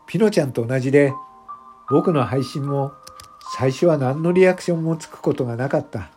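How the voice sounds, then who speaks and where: A man's voice: mid-range voice, brisk, a little mellow, natural voice. Man acting a self-conscious confessional streamer, music behind. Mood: confiding, modestly proud. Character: shy factory worker turned popular voice streamer, confiding, self-conscious. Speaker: narrator of an audio drama. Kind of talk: confessional first-person radio drama monologue. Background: background music.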